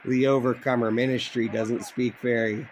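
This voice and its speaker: A man's voice: mid-range voice, little pitch movement, normal speed. An American man giving casual, off-the-cuff commentary as a radio hobbyist, musing and thinking aloud. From United States. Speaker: shortwave radio hobbyist narrating a video. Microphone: handheld cell phone. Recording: noisy phone recording with radio static. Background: shortwave radio static and broadcasts.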